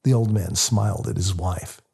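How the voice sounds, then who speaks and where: A man reading his own book as scripted audiobook narration, in a husky, gravelly voice. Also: deep voice, moderate pace, a little mellow.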